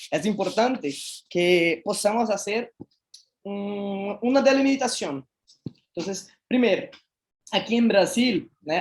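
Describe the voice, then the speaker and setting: A Brazilian man, a native Portuguese speaker, giving an online lecture on environmental engineering in careful, hesitant second-language Spanish. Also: high-pitched.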